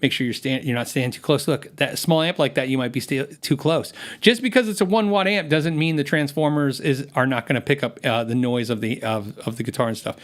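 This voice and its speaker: A man's voice: mid-range voice, fairly quick, hardly any pauses. A man hosting a gear livestream, chatting casually with viewers, rambling with many restarts and reading out viewer comments. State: voice worn from long shows.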